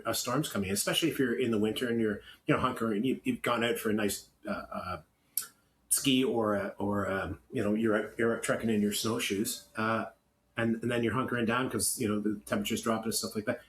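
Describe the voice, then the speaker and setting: A Canadian man co-hosting a podcast, talking casually and with opinion, in a halting, rambling way with self-corrections. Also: mid-range voice, slight background noise. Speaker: podcast co-host. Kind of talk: opinionated casual podcast talk, partly reading notes.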